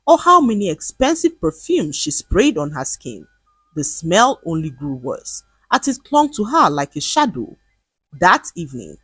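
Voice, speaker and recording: A woman narrating a scripted folktale in an expressive, dramatic storytelling manner. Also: fairly low pitch, husky, dry recording.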